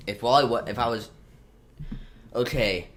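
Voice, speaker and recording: A man's voice: mid-range voice, unhurried, a bit bright, slight background noise. A young man co-hosting a casual chat, rambling and losing his train of thought. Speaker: co-host.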